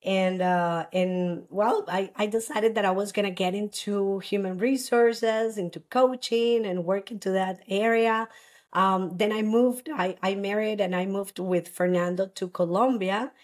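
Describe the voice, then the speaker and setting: Woman from Costa Rica, thirties. Earnest interview guest, halting delivery with frequent restarts. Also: medium pitch, steady tone, darkish tone. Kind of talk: personal story in an interview.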